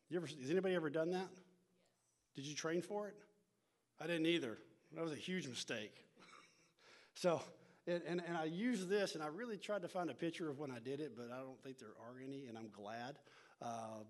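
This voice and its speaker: A man's voice: a little high, moderate pace, pauses often. Man in his forties, North Texas accent, folksy pastor teaching a church group, chatty with lots of restarts. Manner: folksy, self-deprecating, many restarts and filler words. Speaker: church education pastor leading a workshop. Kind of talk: casual talk to a church group, opening with prayer.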